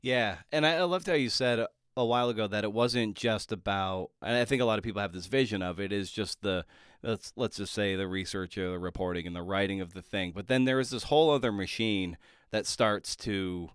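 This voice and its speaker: A man hosting a casual interview podcast as a fellow author, talking informally and often halting or restarting mid-thought. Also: fairly low pitch, smooth, dry recording, clean studio sound.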